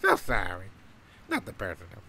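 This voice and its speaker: A man's voice: low-pitched, wildly swooping pitch, moderate pace, sharp tone, a little hiss or hum, heavily acted. A man playing a small, cruel, gloating winged demon in an audio drama, taunting and cackling. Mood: mocking menace.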